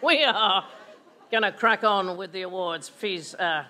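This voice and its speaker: An older woman hosting an awards lunch from a lectern in a large hall, playful and quick to joke. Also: medium pitch, pushed and bright. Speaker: awards host. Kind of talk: lively event hosting with jokes. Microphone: lectern microphone. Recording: hall with crowd chatter.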